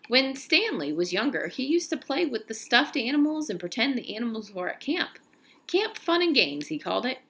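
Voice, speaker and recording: A woman reading a children's novel aloud in an expressive storytelling style, now and then stumbling and correcting herself. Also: a little high, slight background noise.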